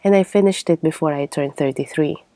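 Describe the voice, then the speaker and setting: Casting: woman, thirties, podcast host with a low register, close dry sound, articulate and reflective scripted delivery. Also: a little fast, warm tone, no room sound. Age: 30s.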